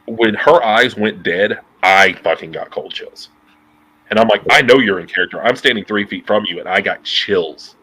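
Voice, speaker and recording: A man's voice: lowish voice, normal speed, rough recording. A man in his thirties with a nasal voice, a guest talking casually and profanely on a video call over earbuds, waving his hands as he talks. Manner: profane, animated, gesturing a lot. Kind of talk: casual interview chat. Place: dim room at home, indoors.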